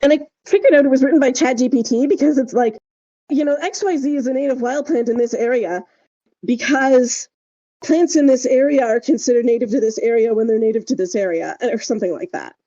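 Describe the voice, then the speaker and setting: A woman's voice: high voice, fairly quick, dark tone, lo-fi sound. A woman chatting casually and emphatically as a podcast co-host, wry and discursive, with plenty of "like" along the way. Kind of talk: casual opinionated podcast chat.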